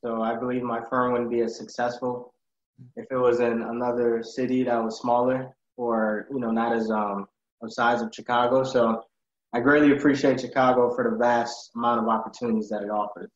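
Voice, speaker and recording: A man from Ghana answers interview questions in a relaxed, unhurried way, filling pauses with um and you know. His voice sounds dull and slightly muffled. A noticeable echo of the room hangs around his words. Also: mid-range voice. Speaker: guest, accountant.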